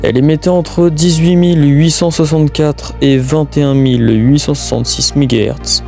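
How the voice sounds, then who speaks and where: A man reading a scripted documentary narration as presenter, in a halting way with stumbles. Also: a little high, fairly even intonation, vocal fry, a little mellow. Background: music.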